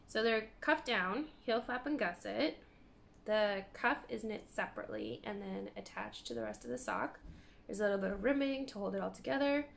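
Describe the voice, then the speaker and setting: A woman in her thirties from Canada hosting a knitting video podcast, chatting casually and meandering, hoarse from a cold. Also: medium pitch, fairly bright tone. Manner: chatty, meandering, self-correcting. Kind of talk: casual chat to camera. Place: living room by a window, indoors.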